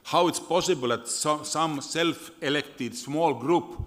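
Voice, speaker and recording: A man's voice: a little high, slow. A man, a parliamentarian, asking an earnest, wry question from the floor of a panel. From Finland. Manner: earnest, repeats words, wry humor. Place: large conference hall. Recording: reverberant conference hall.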